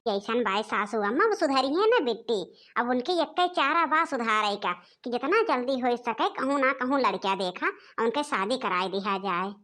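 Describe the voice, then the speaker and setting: A woman's voice: a little high, animated, normal speed, a bit bright. A woman voicing a patient young village daughter-in-law in a cartoon comedy, placating and respectful, with an Awadhi accent. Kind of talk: animated comedy dialogue.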